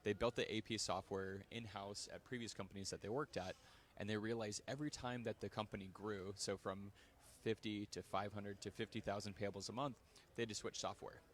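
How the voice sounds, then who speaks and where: A man from a software company explaining his product in a friendly, polished, salesy way during a casual live chat. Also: lowish voice, steady tone, brisk. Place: conference venue, indoors.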